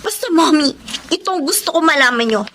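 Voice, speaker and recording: A woman's voice: high-pitched, expressive, fairly quick, pushed and bright, slight background noise. A woman playing a sulky, possessive young adult daughter in a Filipino radio drama, speaking with a Filipino accent. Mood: angry. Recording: music under the voice.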